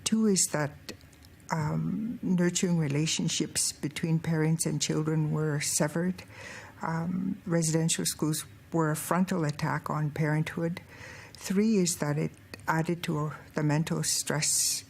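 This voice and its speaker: A woman in her sixties from Alberta, Canada, speaking about her past in a measured, articulate way. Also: sits low, fairly even intonation, vocal fry, a little mellow, husky. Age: 60s. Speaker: residential school survivor. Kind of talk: interview testimony.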